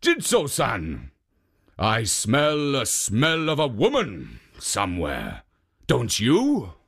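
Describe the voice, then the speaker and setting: A storyteller playing a big, hairy ogre from a folk tale who talks bluntly and suspiciously. He drags out his words slowly, sounding heavy and deliberate. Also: medium pitch, huge pitch swings, vocal fry, a bit bright, husky, exaggerated voice. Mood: gruff. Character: hairy man-eating male ogre, gruff.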